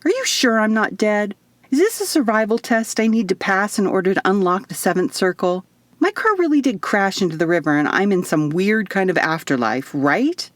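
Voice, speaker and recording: A woman's voice: medium pitch, big pitch swings, normal speed, dry recording, natural voice. A sarcastic young woman who can't believe what is happening, acted by a female narrator. She grumbles with incredulity, in a dry audiobook recording. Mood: anxious disbelief.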